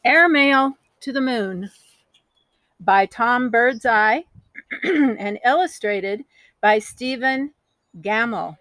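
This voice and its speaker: A woman reading a children's storybook aloud in an expressive storytelling style. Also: on the high side, no room sound, poor audio.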